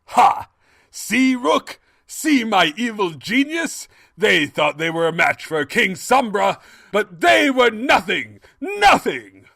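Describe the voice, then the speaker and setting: A man's voice: high-pitched, sing-song, slow pace, vocal fry, sharp tone, dry recording, studio quality, full character voice. A man voicing a vain, blustering evil stallion king in a cartoon audio drama, with a grandiose, theatrical manner. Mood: gloating.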